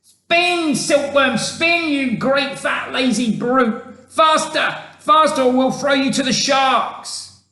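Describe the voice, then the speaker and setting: A man voicing a bossy centipede who shouts frantically at the workers in a storybook. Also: extremely high pitch, slow pace, roomy sound, voice pushed up, full character voice. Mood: frantic, bullying.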